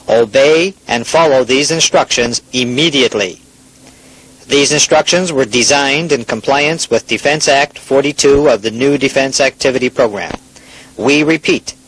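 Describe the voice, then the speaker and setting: A man's voice: medium pitch, a bit bright, husky, low-quality recording. A man acting as a stern official announcer, reading formal, authoritative emergency attack instructions in a radio drama.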